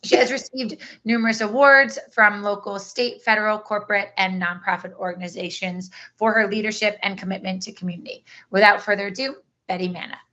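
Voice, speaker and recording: Bright woman reading an introduction. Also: medium pitch, fairly quick, dull audio. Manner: steady, formal reading. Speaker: host introducing the speaker. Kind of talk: read introduction.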